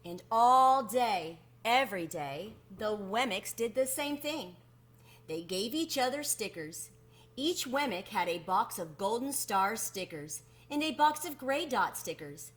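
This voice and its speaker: A woman reading a children's picture book aloud as a storyteller, expressive and deliberate with drawn-out emphasis. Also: fairly high pitch.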